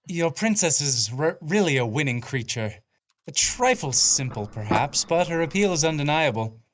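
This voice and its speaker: A woman voicing a sly, genteel male count, a torturer with measured, insinuating delivery. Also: sits low, expressive, a little hiss or hum.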